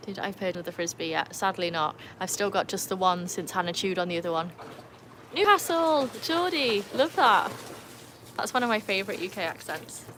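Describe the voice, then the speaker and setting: A woman's voice: medium pitch, lively intonation, moderate pace, fairly bright tone, a little hiss or hum. Chatty English woman hosting a livestream. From England. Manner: chatty, laughs often, calls to her dogs. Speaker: livestream host. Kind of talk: casual livestream chat. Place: outdoors by a backyard pool.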